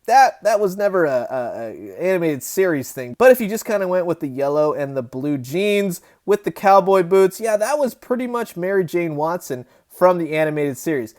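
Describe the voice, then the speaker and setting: A man's voice: high-pitched, sing-song, moderate pace, hardly any pauses, a little mellow. A man in his thirties giving a casual, enthusiastic toy review, chatty and emphatic as the host.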